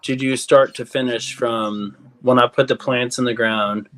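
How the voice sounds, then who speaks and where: A man's voice: mid-range voice, steady tone, unhurried, fairly bright tone, low-quality recording. A man in casual group chat who talks loosely and rambles, leaning heavily on "like". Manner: loose, rambling, heavy use of "like".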